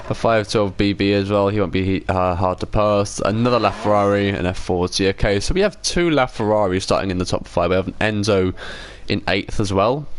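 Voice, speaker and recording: A man's voice: fairly low pitch, a little hiss or hum. A man hosting a video game livestream with casual, chatty commentary, rambling along and correcting himself as he goes. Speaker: livestream host.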